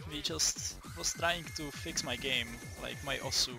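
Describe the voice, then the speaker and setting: A young man from the Czech Republic with a Czech accent, giving a casual, halting gaming tutorial, swearing often and restarting his sentences. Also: fairly high pitch, vocal fry, music in the background. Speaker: gaming video maker.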